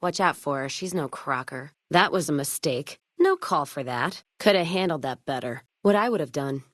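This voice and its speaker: A woman playing a hard-drinking, sharp-tongued American caravan owner in a post-apocalyptic western game, with blunt, sardonic, folksy profanity. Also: deep voice, lively intonation, moderate pace, dry recording, clean studio sound. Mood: wry, dry, then frank, judging. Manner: blunt, sardonic, profane frontier drawl with folksy turns of phrase. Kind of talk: video game character dialogue.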